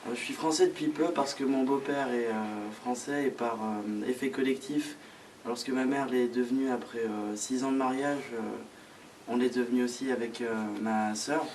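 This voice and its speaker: A man's voice: medium pitch, fairly even intonation, darkish tone. Young man, student interviewee, informal, hesitant delivery, distant mic, faint background noise. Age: young adult. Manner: hesitant, frequent pauses.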